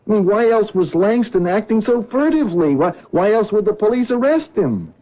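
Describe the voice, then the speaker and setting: A man's voice: sits high, sing-song, fairly quick. A man playing an anxious cab driver in a 1970s radio drama, earnest and stammering when upset. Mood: agitated. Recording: dull lo-fi old radio broadcast.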